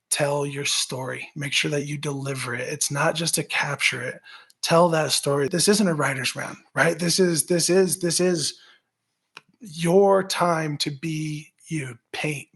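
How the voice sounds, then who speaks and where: A man with a raspy voice, a musician guest talking in an energetic, pep-talk way and often tagging on "right?". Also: a little high. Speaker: musician guest, former baseball pitcher. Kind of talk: casual interview talk.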